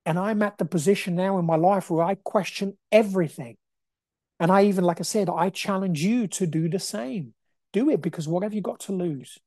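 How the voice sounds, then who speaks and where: Man in his 40s from brazil giving a fired up motivational talk, punchy and repetitive, mellow tone, dry room. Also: high-pitched, no echo. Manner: emphatic, repetitive, preachy. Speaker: podcast host. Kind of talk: motivational monologue.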